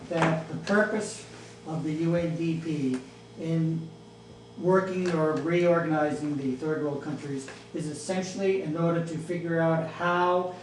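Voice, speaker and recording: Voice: medium pitch, steady tone, measured pace. An audience member poses a question, choosing the words carefully. The voice is far from the mic, wrapped in heavy room echo with a faint hiss behind it. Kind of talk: question from the floor.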